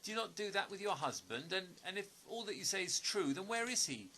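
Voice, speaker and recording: A man's voice: sits high, lively intonation, a little fast, pushed and bright, slight background noise, full character voice. An actor, a man, playing a bewildered, sceptical amnesiac stranger in an audio play, hesitant, questioning and wry. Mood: challenging.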